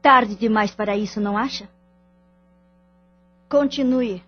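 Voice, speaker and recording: A woman's voice: mid-range voice, a little slow, lo-fi sound, faint music behind, lightly acted. An actress with a Brazilian accent playing a wronged, firm wife and mother in an audio drama. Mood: bitter, sarcastic.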